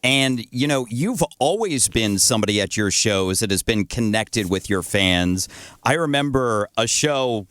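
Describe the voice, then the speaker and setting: Lively, upbeat male interview host. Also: on the low side, a little slow, no echo, studio quality. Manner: enthusiastic, laughs often. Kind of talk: casual interview chat.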